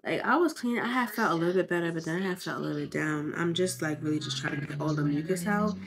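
A woman's voice: low voice, fast talker, creaky. A young woman, congested from covid, chatting bluntly and swearing freely in a casual vlog. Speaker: vlogger.

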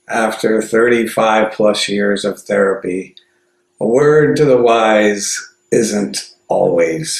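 A man who is a therapist presenting a short scripted radio segment in a measured way. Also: lowish voice, roomy sound, rough recording.

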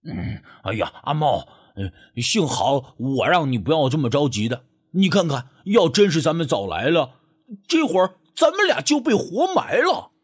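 Guy doing a nervous stammery young buddy in an audiobook, exclaims a lot, pitch all over. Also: big pitch swings, normal speed, breathy edge. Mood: flustered. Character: timid, flustered, nagging young male sidekick.

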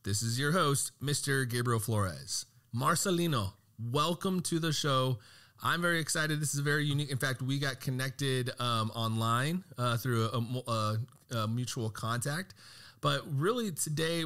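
A man's voice: mid-range voice, moderate pace, fairly bright tone. A man hosting an entrepreneurship podcast, reading a prepared intro and then welcoming his guest. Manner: upbeat, reads a prepared intro. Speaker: podcast host. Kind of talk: podcast interview and scripted intro.

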